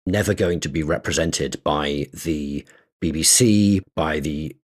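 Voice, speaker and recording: A man's voice: rumbling low, measured pace. A man co-hosting a casual podcast, explaining ideas at length while thinking aloud, with frequent restarts and small laughs. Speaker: podcast co-host.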